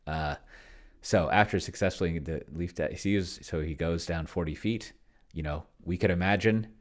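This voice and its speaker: A man's voice: sits low, normal speed. A man giving a casual, unscripted commentary on his own tabletop game session, rambling and hesitant as he thinks aloud and trails off mid-thought. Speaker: solo tabletop roleplayer and host, narrating own play session.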